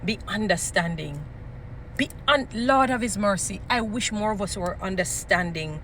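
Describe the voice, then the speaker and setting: Jamaican woman, strong mid-pitched voice, emphatic preachy advice-giver, informal delivery, some outdoor urban noise behind. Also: normal speed, a bit bright. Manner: emphatic, preachy, repeating short phrases for emphasis. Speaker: relationship advice speaker. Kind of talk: informal motivational advice talk.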